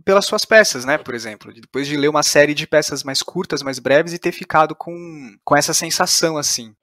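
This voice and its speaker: A man's voice: fairly high pitch, a little fast, a bit bright, dry recording, clean studio sound. A man with a Brazilian accent hosting a podcast in casual conversation. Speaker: podcast host. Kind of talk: casual interview chat.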